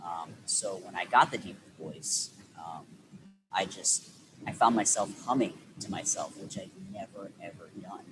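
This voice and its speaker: A man's voice: deep voice, moderate pace, music in the background, rough recording. A man speaking as an interview guest, reflecting at length with frequent restarts and fillers. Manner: rambling, many restarts and fillers.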